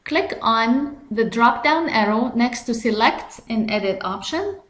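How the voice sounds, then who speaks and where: A woman narrates a software tutorial with a fairly high voice, taking it slowly and step by step. Her delivery is measured and instructional, and the recording sounds noticeably roomy and echoey. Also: expressive.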